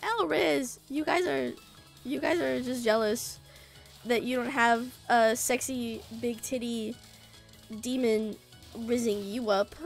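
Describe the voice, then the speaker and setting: A young woman streaming a game while sick, her voice raspy, swearing, joking with chat and singing la-la to herself. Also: fairly high pitch, slow pace. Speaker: VTuber streamer. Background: faint game music.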